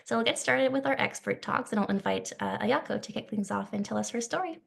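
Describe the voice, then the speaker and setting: A woman hosts an online session over a video call, friendly and full of fillers. Her voice has a heavy gravelly fry. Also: medium pitch, fairly quick. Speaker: event host.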